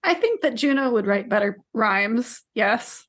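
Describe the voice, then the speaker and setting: A woman co-hosting a podcast, discussing books casually in a measured, questioning way. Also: on the high side, lively intonation, a bit bright. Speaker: podcast co-host.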